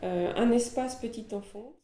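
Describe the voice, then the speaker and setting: Female speaker, mellow and unhurried, halting formal statement, roomy reverberant sound. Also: on the high side.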